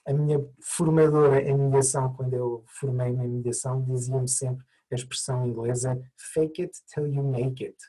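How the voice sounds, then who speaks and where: A man's voice: mid-range voice, steady tone, normal speed, few pauses, dark tone, rough recording. A man who learned Portuguese as an adult, by birth, answering interview questions thoughtfully with many hesitations and restarts. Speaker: mediator, interview guest.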